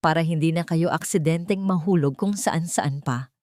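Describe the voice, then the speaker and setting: A woman's voice: low-pitched, moderate pace, a little mellow, no room sound, clean studio sound, natural voice. A woman with a Filipino accent voicing a cold, commanding, scheming daughter-in-law in an audio story. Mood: venomous, commanding. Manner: icy, commanding.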